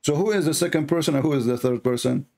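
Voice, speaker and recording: A man's voice: a little high, quick pace, a little mellow. A man hosting a call-in show, arguing and mocking, repeating phrases as he talks. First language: Arabic. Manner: mocking, argumentative, repeats himself. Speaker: show host taking calls. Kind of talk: call-in religious debate show.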